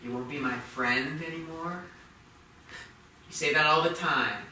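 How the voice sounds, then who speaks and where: Taunting teen boy, played by an actor, slow, higher voice, distant stage recording. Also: pushed and bright, slight background noise. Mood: taunting, cocky. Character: taunting, cocky teenage boy playing robber. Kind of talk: staged play reading. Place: small theatre, staged reading. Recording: echoey theatre from far off.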